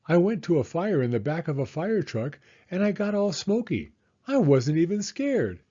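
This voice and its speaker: A bold, adventurous little girl from a storybook, voiced by a man, eager and insistent. The pitch is lifted and lively, with a slightly dull recording. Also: normal speed, breathy edge. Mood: proud.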